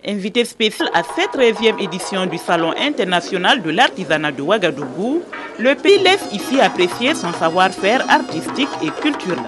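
Lively woman reporting over music. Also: medium pitch, normal speed.

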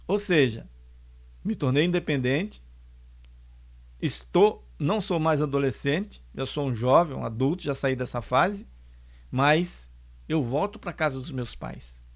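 A man in his sixties giving a calm spoken lecture on family, explaining points and repeating them, into a close microphone in a home studio. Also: a little high, measured pace. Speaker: spiritist lecturer and singer. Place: home studio, bookshelves behind. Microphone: orange foam mic on stand, close.

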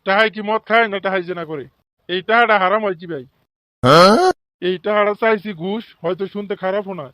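Man voicing a high, sanctimonious corrupt Bangladeshi official. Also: fairly even intonation, fairly quick, no echo, lo-fi sound, close to an everyday voice. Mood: sly, self-justifying. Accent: Bangladeshi regional. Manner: sanctimonious, peppers talk with pious phrases. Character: corrupt, self-righteous bribe-taking male office official. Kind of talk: comic cartoon voice acting. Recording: loud music behind the voice. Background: loud music.

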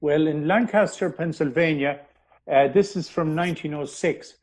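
A man, a historian, telling history in an interview, pausing often with ums and restarting his sentences. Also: a little high. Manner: hesitant, many ums and restarts. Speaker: historian guest.

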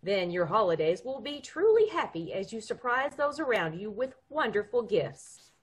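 Animated, husky radio commercial spokeswoman acted by a woman. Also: medium pitch. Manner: salesy, folksy. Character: folksy, reassuring bank sponsor spokeswoman. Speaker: commercial announcer. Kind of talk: old-time radio commercial read. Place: lamp-lit living room at home. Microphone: video call.

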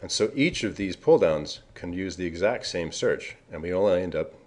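Deep-voiced man explaining code. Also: animated, normal speed, darkish tone. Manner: casual, explanatory, occasional self-corrections. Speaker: software developer presenting a tutorial. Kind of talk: screencast walkthrough.

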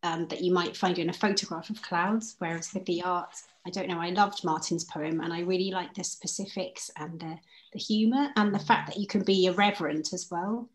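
Lower-pitched woman, reflective poet. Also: fairly even intonation, brisk, poor audio. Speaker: poet answering questions. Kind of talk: reflective informal talk. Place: indoors at home, on a group video call. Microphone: video call.

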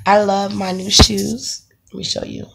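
A woman in her thirties with a raspy voice from the flu, chatting casually to her phone camera at home, with lots of ums. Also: mid-range voice, level tone, measured pace, heavy background noise. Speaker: vlogger, stay-at-home mom. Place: home bedroom, indoors. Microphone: phone camera held close.

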